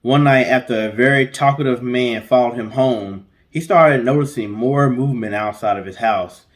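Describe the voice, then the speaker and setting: Male co-host, average pitch, telling a story in a chatty, colloquial, joking way. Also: normal speed. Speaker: co-host of a story guessing show. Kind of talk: casual storytelling with chatty asides.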